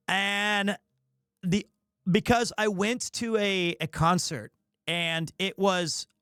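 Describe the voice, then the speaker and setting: High-voiced man chatting on a livestream. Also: fairly bright tone, no echo, clean studio sound. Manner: rambling, opinionated, playfully defensive. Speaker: live streamer, host of the show. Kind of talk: casual livestream chat with viewers.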